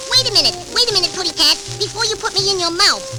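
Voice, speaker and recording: Casting: innocent, clever little cartoon bird, babyish speech, very high and lilting, old record. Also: male voice, expressive, quick pace. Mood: pleading, earnest. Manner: sweet, persuasive. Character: tiny, innocent, clever baby cartoon canary. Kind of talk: vintage children's cartoon record acting. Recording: vintage 78rpm record with music.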